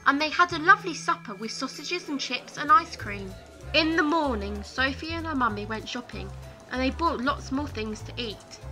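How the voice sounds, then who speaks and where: High-pitched, animated woman storyteller. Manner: animated, deliberate short phrasing for children. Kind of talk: expressive children's bedtime story reading. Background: music.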